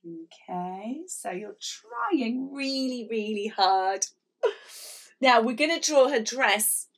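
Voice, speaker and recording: A middle-aged woman leading a children's drawing lesson in a chatty, encouraging, animated way. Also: fairly high pitch, takes it slowly, gravelly. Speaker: illustrator leading a children's draw-along. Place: small indoor room at a whiteboard.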